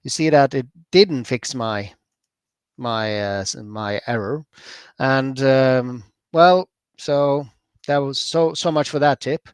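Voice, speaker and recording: A man from Norway with a Norwegian accent, chatting through a software demo at a user group, relaxed, with lots of fillers and self-corrections. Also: medium pitch, slow, clear, resonant tone, no room sound. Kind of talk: screen-share software demo.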